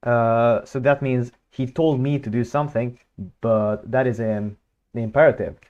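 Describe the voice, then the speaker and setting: Young hungarian-native guy rambling on stream, mid pitch, lots of uhs, kinda dull lo-fi mic. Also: steady tone, unhurried, a little mellow. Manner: hesitant, many fillers and restarts. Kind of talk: casual livestream chat, thinking aloud.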